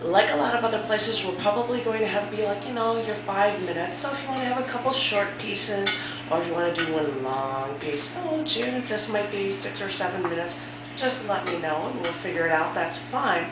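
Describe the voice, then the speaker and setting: A woman's voice: mid-range voice, brisk. A woman hosting a cafe open mic and reading a poem, her voice scratchy from a sore throat, chatty and self-deprecating. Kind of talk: casual hosting, then poetry reading. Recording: echoey room from far off.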